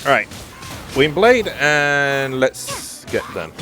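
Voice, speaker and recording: A man's voice: mid-range voice, big pitch swings, slow. A man hosting a gaming video, giving chatty, casual commentary with short reactive interjections. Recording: game music behind.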